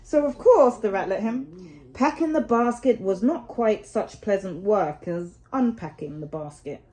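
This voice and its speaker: A woman reads a children's story aloud as a storyteller. Her delivery is expressive, with dramatic emphasis and drawn-out key words, at a medium pitch.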